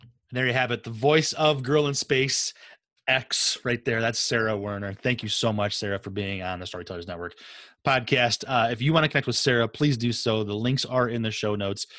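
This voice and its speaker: A man's voice: medium pitch, fairly quick, fairly bright tone, no room sound, clean studio sound. A man hosting a podcast, talking in a casual, conversational interview style. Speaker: podcast host.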